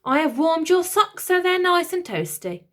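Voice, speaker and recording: A woman's voice: extremely high pitch, sing-song, moderate pace, pitched above the performer's own voice. Woman doing a chirpy bumbling robot servant. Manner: cheerful announcing. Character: clumsy, eager servant robot.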